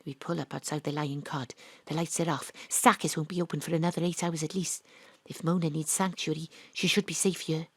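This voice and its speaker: A woman acting as the narrator and private-eye lead of a radio drama, wry and deadpan in a hard-boiled parody style. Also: deep voice, fairly quick, husky, no room sound. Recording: dry home studio.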